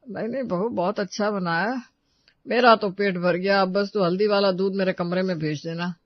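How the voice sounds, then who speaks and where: A man playing disgruntled, fussy men of a household in an animated family story. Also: high voice, moderate pace, no echo, lo-fi sound, lightly acted. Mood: resigned. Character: disgruntled husband and fussy elderly father-in-law of a household.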